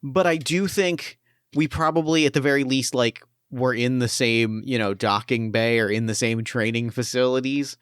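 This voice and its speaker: Male GM for a mecha actual play, smooth clear voice in a dry close room, mid pitch, thinks aloud with restarts. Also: moderate pace, fairly bright tone, dry recording. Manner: thoughtful, rambling with frequent restarts. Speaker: game master. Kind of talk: actual play character talk.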